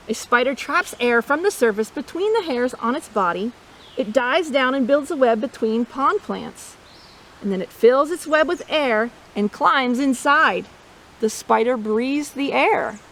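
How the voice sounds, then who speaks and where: Expressive high-voiced woman reading to kids. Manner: expressive, playful, asks listeners questions, imitates animal sounds. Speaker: storytime host, nature educator. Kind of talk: read-aloud children's nature storytime with asides.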